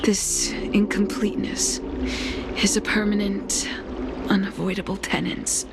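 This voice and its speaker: An actress voicing a stoic, quietly bitter blind woman alchemist in a video game, with measured, formal lines and deliberate pauses. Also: medium pitch, flat delivery, heavy background noise, lightly acted. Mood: grave, restrained sorrow. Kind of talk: video game story dialogue.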